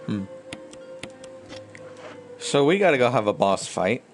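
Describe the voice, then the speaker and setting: A man's voice: medium pitch, very animated, slow, faint music behind. A man chatting casually with viewers and thinking aloud in rambling fashion as he streams a video game. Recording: clear background noise.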